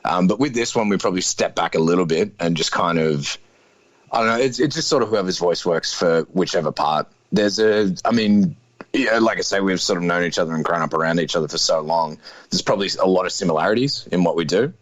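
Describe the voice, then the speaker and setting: Deep-voiced man in his thirties. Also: brisk, no echo, dull audio. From Australia. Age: 30s. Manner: laid-back, hedging with many fillers. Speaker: guest musician. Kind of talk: casual interview chat.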